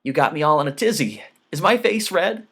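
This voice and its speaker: A man's voice: a little high, expressive, fairly quick, a bit bright, slightly rough, pitched above the performer's own voice, exaggerated voice. Befuddled middle-aged dad, played by a male narrator: cheerful, folksy, corny, for an audiobook story. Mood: flustered, affectionate.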